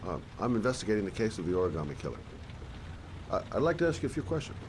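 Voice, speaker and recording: A man doing a deep-voiced weary private eye. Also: very animated, very fast, background noise. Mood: earnest. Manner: soft-spoken, earnest, sympathetic. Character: weary middle-aged male private detective, gentle.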